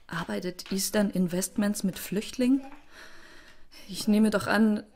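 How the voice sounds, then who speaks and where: A woman playing a grieving, guarded widow with halting speech in a German crime radio play. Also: mid-range voice, a little mellow, natural voice. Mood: hurt, subdued.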